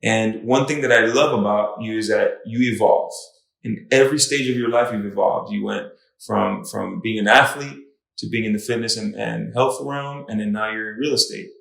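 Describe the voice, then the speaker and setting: A man's voice: lowish voice, creaky, a bit bright. A man who works as a fitness trainer, chatting on a podcast in an enthusiastic, encouraging way. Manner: enthusiastic, encouraging, quick to affirm. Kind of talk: casual chat. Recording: echoey room.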